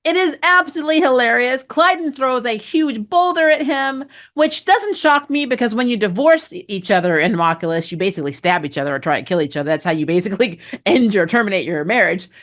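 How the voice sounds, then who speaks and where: A woman's voice: fairly high pitch, expressive, brisk, few pauses, a bit bright. Chatty woman reviewing a TV episode. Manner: chatty and amused, laughs often, many fillers. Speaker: TV review host. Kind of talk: casual spoiler review.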